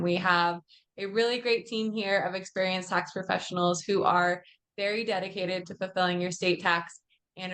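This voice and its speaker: A woman's voice: medium pitch, a bit bright. Upbeat woman podcast co-host. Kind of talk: casual podcast chat and firm introduction.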